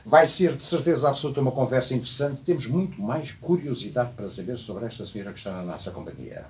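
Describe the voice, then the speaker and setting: A man's voice: medium pitch, expressive, quick pace, background noise. A man with a European Portuguese accent hosting a radio interview, asking playful, teasing questions. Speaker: radio host.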